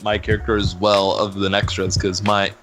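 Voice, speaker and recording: A man with a fairly low voice banters as a podcast co-host. His delivery is casual, clipped and deadpan, and music plays noticeably underneath. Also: brisk. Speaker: podcast co-host and amateur voice actor. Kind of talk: casual joking chat.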